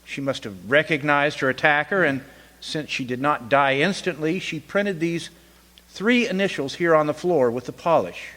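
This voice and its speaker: A man playing a deliberate, hesitant police inspector in an old-time radio mystery. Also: on the high side, a bit bright, lightly acted. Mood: probing, measured. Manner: hesitant, deliberate, frequent uhs. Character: methodical male police inspector questioning suspects. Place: community theatre stage. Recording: echoey hall stage recording.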